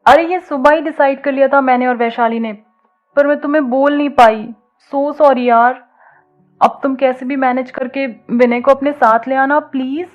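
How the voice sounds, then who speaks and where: Woman doing a cheeky young college bestie, coaxing slangy talk, highish voice, bit dull recording. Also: fairly even intonation, moderate pace, faint music behind, lightly acted. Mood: apologetic, pleading. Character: teasing, bold young college woman, encouraging best friend.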